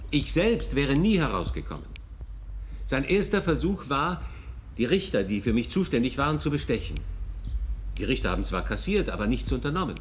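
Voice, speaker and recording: A man's voice: medium pitch, slight background noise. A man speaking as a defendant in an insistent way.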